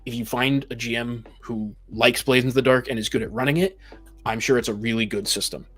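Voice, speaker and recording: A man's voice: medium pitch, fairly even intonation, fairly quick, fairly bright tone. A man in a casual, opinionated discussion, talking as a podcast host about games.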